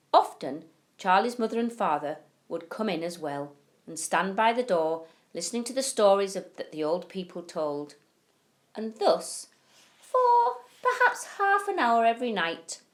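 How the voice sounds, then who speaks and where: A woman reading a children's bedtime story expressively, with deliberate phrasing and drawn-out key words. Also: medium pitch. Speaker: primary school teacher reading to pupils.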